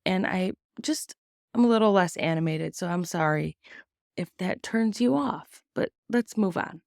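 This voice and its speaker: A woman with a fairly low voice talks through the news in a blunt, casual way, swearing often and filling pauses with ums. She sounds sick and worn out, with a slight rasp. The recording is close and dry. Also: darkish tone, no echo. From United States. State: sick and tired, a bit raspy. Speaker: podcast host. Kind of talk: casual opinion commentary on news.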